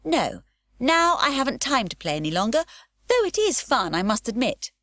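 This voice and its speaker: Woman putting on a cheeky fearless little girl, high voice, super lively, dry close sound. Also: moderate pace, dry recording. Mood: cheerful. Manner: bold, gleeful and carefree. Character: wild cheerful girl, nine, fearless.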